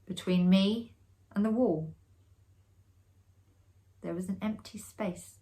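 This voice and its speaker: A woman giving a dramatic, expressive reading of a classic ghost story as a storyteller. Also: medium pitch, slow. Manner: expressive, acting out the dialogue. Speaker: storyteller, amateur theatre performer.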